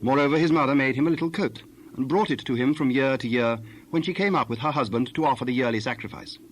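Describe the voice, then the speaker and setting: A man narrating scripture from a script in a measured, formal way. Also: mid-range voice, slight background noise, rough recording.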